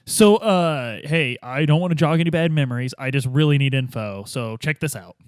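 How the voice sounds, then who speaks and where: A man's voice: on the high side, animated, normal speed, clean tone, no room sound, studio quality. A man improvising as a detective in a tabletop game, casual, hesitant and slangy. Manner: hesitant, slangy, laid-back. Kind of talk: casual improvised role-play.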